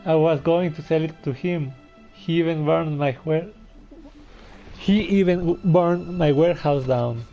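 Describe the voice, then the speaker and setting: A man's voice: fairly high pitch, measured pace, dark tone. A young man in a casual game playthrough, commenting and reading text aloud. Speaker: gamer, video host. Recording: slightly muffled, game music behind.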